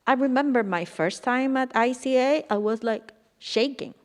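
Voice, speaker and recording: A woman's voice: high voice, normal speed. Chilean woman, hesitant panel speaker. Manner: hesitant, searching for words, with soft laughs. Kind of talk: conference panel discussion.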